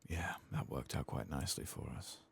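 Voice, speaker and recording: A soft-spoken man with a gravelly voice doing an ASMR game playthrough, muttering asides and reading game text. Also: a bass voice, moderate pace. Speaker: gaming streamer. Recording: faint game ambience behind.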